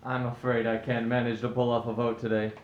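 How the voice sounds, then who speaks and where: A man's voice: mid-range voice, level tone, fairly quick, lo-fi sound, natural voice. Man acting a breezy husband, echoey room. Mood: dismissive. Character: breezy, self-absorbed upper-class husband and father. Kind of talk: scripted radio play acting. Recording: roomy echo, faint background noise.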